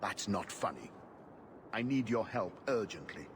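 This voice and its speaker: A man's voice: fairly low pitch, big pitch swings, a little slow. A man playing a dogged investigator in a video game, serious and urgent in his delivery. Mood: urgent, frustrated. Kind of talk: video game cutscene acting. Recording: noisy street ambience.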